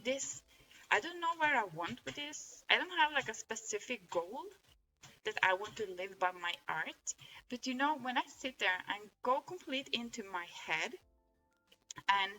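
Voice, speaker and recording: A woman's voice: fairly high pitch, expressive, normal speed, bright, forceful tone, soft background music, poor audio. A woman with a Norwegian accent, a native Norwegian speaker, talking through her experience in an earnest, rambling way, with many restarts. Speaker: vlogger. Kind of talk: casual reflective monologue.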